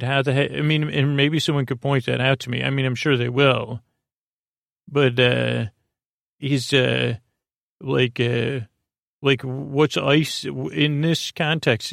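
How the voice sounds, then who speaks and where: Creaky-voiced man rambling softly through a monologue. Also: medium pitch, dry recording, studio quality. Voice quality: gravelly, creaky voice. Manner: meandering, halting, digressive. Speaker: host of a sleep podcast.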